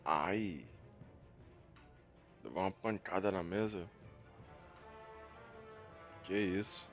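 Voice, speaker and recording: A man's voice: low voice, slow pace, over music. A Brazilian man giving casual commentary as a gaming video creator.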